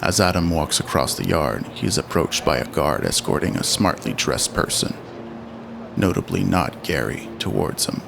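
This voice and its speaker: A man's voice: extremely low pitch, expressive, moderate pace, gravelly, noisy background. A man delivering scripted narration for an audio roleplay drama.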